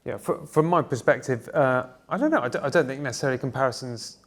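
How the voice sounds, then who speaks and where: A company founder, a man, answering panel questions in a relaxed, conversational way, full of fillers and restarts. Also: mid-range voice, quick pace. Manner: relaxed, filler-heavy, repeats "kind of". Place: conference stage.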